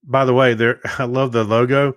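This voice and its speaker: A man's voice: medium pitch, little pitch movement, normal speed, a bit bright, no echo. A middle-aged man co-hosting a podcast in casual chat, talking in a rambling way with many restarts and fillers. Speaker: podcast co-host.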